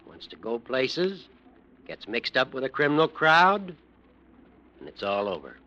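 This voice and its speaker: A man's voice: mid-range voice, very animated, a little slow, pushed and bright. An actor playing a stern male judge who lectures and warns in a 1940s radio drama. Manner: lecturing, warning. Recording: dull old radio recording.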